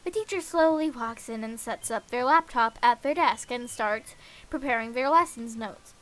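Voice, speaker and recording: Bright, high-pitched woman streamer. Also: normal speed. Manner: playful, scatting nonsense syllables and muttering to self. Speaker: game streamer. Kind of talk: livestream read-aloud of a visual novel with casual asides.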